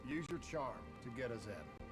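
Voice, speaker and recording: An actor playing a businesslike, determined soldier in a video game cutscene, speaking tersely. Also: male voice, mid-range voice, sing-song, normal speed, close to an everyday voice. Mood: focused. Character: businesslike, determined male soldier on covert mission. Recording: music and effects behind.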